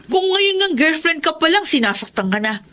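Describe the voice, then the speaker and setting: An actress playing a blunt, protective young Filipino best friend in a radio drama. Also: female voice, sits high, big pitch swings, moderate pace, sharp tone, a little hiss or hum, heavily acted. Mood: suspicious, scolding. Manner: blunt, scolding, probing.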